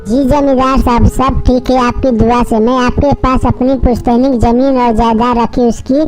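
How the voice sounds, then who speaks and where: A woman's voice: on the high side, little pitch movement, normal speed, a little mellow, faint music behind, voice pushed down, lightly acted. A woman voicing a kindly, poor male bird husband in a cartoon, speaking in a humble, earnest way.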